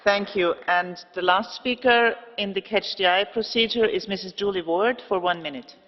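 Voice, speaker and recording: A man chairing a parliamentary session, making brisk, formal procedural announcements. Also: high-pitched. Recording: reverberant chamber, muffled broadcast audio.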